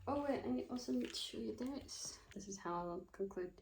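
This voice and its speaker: A woman's voice: on the high side, moderate pace, gravelly, a little mellow, slight background noise. Rambling young woman thinking aloud. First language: not Russian. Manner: rambling, thinking aloud, loses her train of thought. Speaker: student vlogger. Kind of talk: casual chat.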